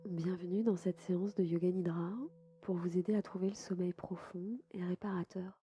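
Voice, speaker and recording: A woman softly guiding a sleep meditation as a yoga nidra host, unhurried and soothing, with a breathy voice that sometimes nears a whisper. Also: lowish voice, flat delivery. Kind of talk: guided sleep meditation narration.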